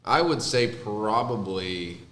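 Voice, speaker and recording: A man's voice: fairly low pitch, expressive, slow pace, fairly bright tone, a little hiss or hum. A man with a Texan accent and a nasal voice, co-hosting a casual podcast with dry teasing. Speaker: podcast co-host. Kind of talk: casual chat. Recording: echoey room.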